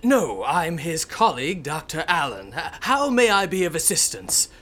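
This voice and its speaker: A polite but evasive young man of learning in a radio play. His male voice sits on the high side, and he speaks formally, with placating hesitations. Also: sing-song, normal speed, fairly bright tone. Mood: smoothly polite. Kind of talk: radio drama acting.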